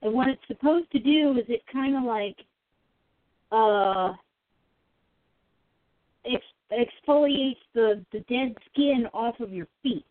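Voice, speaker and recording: A woman with a slightly high voice chats along as co-host, explaining things in a hesitant way full of fillers. Her voice is thin and phone-like. It sounds close and dry. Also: no echo. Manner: halting, filler-heavy explaining. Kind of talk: casual chat. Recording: tinny phone-like line, dry.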